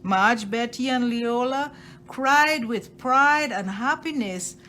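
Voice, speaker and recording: A woman reading a children's picture book aloud expressively, with deliberate phrasing and emphasis on key words. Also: on the high side, bright, forceful tone, slight background noise. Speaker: storytime reader at a library.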